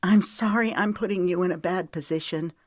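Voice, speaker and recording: A woman narrator voicing a stubborn, emotional woman character in an audiobook, earnest and quick to retort. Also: fairly low pitch, a little mellow, no echo, studio quality, voice pushed up, natural voice. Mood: tearful, strained. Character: stubborn, emotional woman pleading for her freedom.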